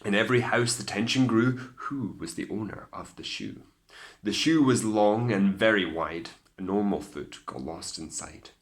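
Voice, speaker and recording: Low, slow-paced Scottish male storyteller. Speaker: teacher reading a story to pupils. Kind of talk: expressive children's storytelling.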